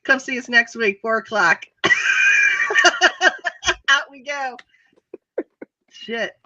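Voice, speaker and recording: A woman's voice: a little high, takes it slowly, pushed and bright, highs cut off. A woman co-hosting a casual online chat show, talking loosely, laughing often and cursing casually. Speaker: co-host. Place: home with animals behind her.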